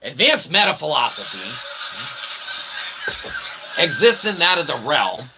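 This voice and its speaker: A man hosting a philosophy show with a rambling monologue, wordy and mock-formal, in a gravelly voice with pompous archaic phrasing. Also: fairly high pitch, sing-song, a little slow. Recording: clearly noisy recording.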